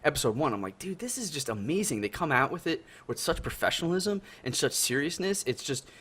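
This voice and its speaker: A man's voice: a little high, sing-song, a little fast, fairly bright tone, breathy edge. Enthusiastic, slangy man chatting casually. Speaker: podcast co-host. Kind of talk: casual group chat. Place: remote online voice call.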